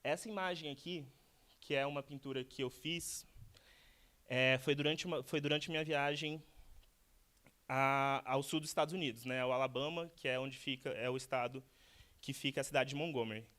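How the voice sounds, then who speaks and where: A man's voice: medium pitch, steady tone, normal speed, a bit bright. Man, thirties, Brazilian accent, lecture in a chatty tone, hesitant, restarts a lot. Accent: Brazilian Portuguese. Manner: hesitant, many restarts and repeated words. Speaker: guest speaker, architect. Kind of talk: lecture told as casual conversation.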